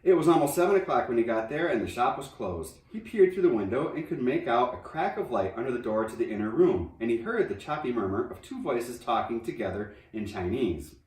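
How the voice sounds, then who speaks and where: A man reading a children's novel aloud in an expressive storybook narration style. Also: mid-range voice, brisk, few pauses, slight background noise. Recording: roomy echo, distant microphone.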